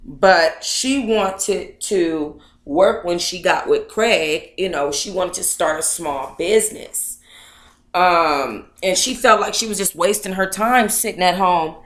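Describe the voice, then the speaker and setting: A woman's voice: lowish voice, slow pace. An American woman hosting a book club livestream, chatting casually and reading aloud in a sassy, colloquial manner. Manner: sassy, colloquial, addresses listeners as honey and baby. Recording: echoey, roomy sound.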